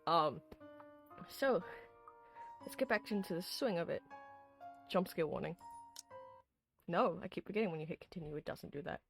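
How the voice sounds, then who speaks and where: A tired, hoarse streamer who chats casually with viewers while replaying a horror visual novel, rambling with lots of ums and restarts. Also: medium pitch, lively intonation, moderate pace, long pauses, fairly bright tone, soft background music. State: hoarse, sleepy. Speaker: streamer host.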